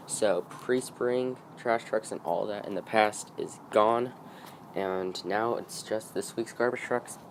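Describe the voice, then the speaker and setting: Host type: casual rambling man, low voice, bit rough from recent illness, faint street noise behind. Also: slow pace, poor audio. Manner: chatty, rambling explanations. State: voice a bit off after being sick. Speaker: channel host. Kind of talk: casual vlog talk to camera. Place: outdoors by a street in the early morning.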